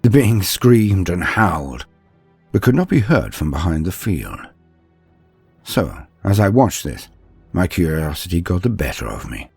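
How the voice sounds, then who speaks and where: Deep, husky male storyteller, dramatically expressive. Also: dry recording, soft background music. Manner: measured, dramatic, pausing mid-sentence. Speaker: storyteller, narrator. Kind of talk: scripted first-person dramatic story narration.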